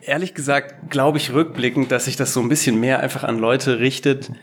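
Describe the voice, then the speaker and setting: A man's voice: mid-range voice, steady tone, a little fast, slightly rough. A young adult man, a game designer and podcast guest, telling his story in a rambling, self-deprecating way. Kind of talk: casual podcast interview. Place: Berlin.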